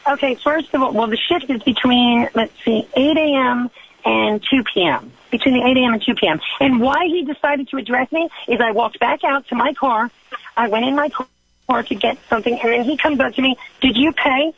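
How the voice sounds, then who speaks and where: Prank-call role, female performer: indignant, flirty, pushy woman with a higher voice, rambling complaint, lo-fi telephone sound. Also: moderate pace. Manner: rambling, interrupting, pushy, calls listener sweetheart. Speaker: prank caller. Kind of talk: improvised prank phone call. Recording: dull lo-fi phone line.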